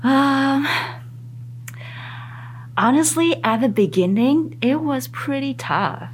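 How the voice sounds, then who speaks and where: A woman psychologist whose first language is Mandarin, talking in a casual interview with thoughtful, lively rises in her voice. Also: sits high, slow, slight background noise. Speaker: psychologist guest.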